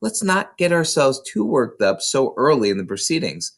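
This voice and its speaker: A man voicing a patient, careful woman schoolteacher in a storybook, with a measured, explanatory, cautioning manner. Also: mid-range voice, sing-song, pitched above the performer's own voice. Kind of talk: children's storybook read aloud.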